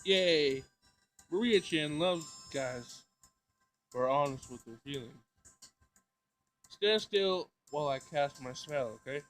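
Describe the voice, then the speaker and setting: Man as a bright, cutesy, bossy magical girl. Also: on the high side, lively intonation, takes it slowly, long pauses. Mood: sweetly cheerful. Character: sweet, bossy magical girl preaching peace and love. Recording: music behind the voice.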